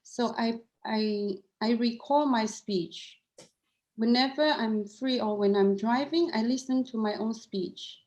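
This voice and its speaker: A woman's voice: a little high, takes it slowly, a little mellow, poor audio. Earnest woman with a Cantonese accent. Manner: earnest and candid, frequent restarts and repeated words. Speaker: guest. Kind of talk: interview conversation.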